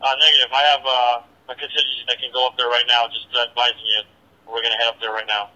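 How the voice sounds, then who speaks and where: A man's voice: medium pitch, level tone, quick pace, bright, forceful tone. A man working as a police officer, talking in radio traffic over a muffled two-way scanner transmission.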